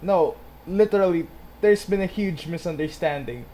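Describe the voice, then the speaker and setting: A woman's voice: lowish voice, animated, moderate pace, mellow, slight background noise. A woman giving casual let's-play commentary, reacting in short interjections. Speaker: gaming video host.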